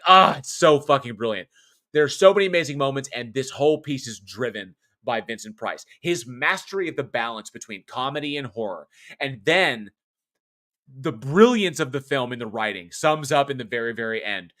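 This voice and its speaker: A man in his forties with a bright, clear-toned voice at a middling pitch, co-hosting a horror film podcast. He talks with passion and rambles, restarting sentences and throwing in the odd curse as he reviews a film. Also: expressive, normal speed. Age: 40s. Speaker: podcast co-host. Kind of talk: casual film review chat.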